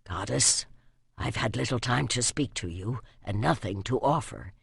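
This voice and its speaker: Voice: mid-range voice, animated, normal speed, vocal fry, slightly rough, dry recording, studio quality, pitched below the performer's own voice, exaggerated voice. A narrator voicing a grim, resolute warrior facing death in a fantasy audiobook. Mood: grim, resigned whisper. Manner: grave, resolute. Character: grim, doomed male warrior defending a citadel. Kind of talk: audiobook character dialogue.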